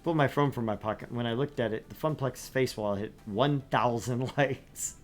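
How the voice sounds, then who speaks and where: A man hosting a let's play, reading a visual novel aloud with lively, animated delivery and joking asides. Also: medium pitch, moderate pace, soft background music.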